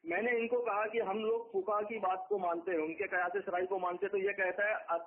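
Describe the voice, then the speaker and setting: A man's voice: sits high, fairly even intonation, normal speed. A man making emphatic accusations in a heated religious debate over a phone call, repeating his points. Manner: accusatory, argumentative, emphatic repetition. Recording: tinny phone conference line.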